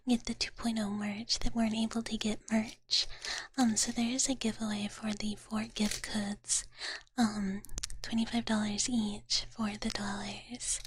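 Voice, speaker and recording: A woman's voice: medium pitch, husky. A woman whispering breathily and playfully close to the microphone in a casual ASMR livestream chat. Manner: playful, talks about herself in third person.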